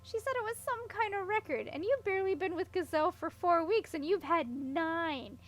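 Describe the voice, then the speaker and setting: A woman's voice: very high-pitched, expressive, normal speed, faint music behind, pitched above the performer's own voice. A woman voicing an awkward, unlucky young princess in a storybook, earnest and rambling as she explains herself. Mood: giggly, amused. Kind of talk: audiobook character voice.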